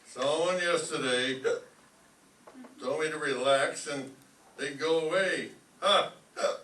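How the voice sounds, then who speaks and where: Blustery, halting school principal acted by a man for radio sitcom; forceful, unhurried, roomy distant pickup. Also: fairly high pitch. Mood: flustered. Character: flustered, gruff adult male school principal. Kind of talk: old-time radio comedy acting. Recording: echoey stage, distant mic, faint noise.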